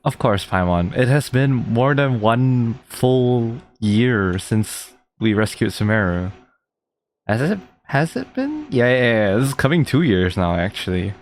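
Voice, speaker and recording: A man's voice: medium pitch, sing-song, unhurried, a little hiss or hum. A man giving casual, chatty live commentary as he reacts to a story-driven video game. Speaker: streamer playing a story game.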